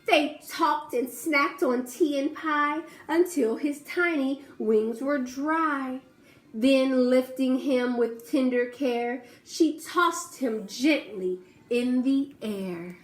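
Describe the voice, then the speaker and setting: A woman teacher reading a rhyming storybook aloud to young children, pausing to ask the class questions. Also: high-pitched, roomy sound.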